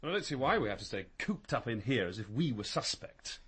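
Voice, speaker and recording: An actor playing an irritable, suspicious barrister, snide and challenging, in a radio crime drama. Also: male voice, mid-range voice, big pitch swings, fairly quick, natural voice. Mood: irritated.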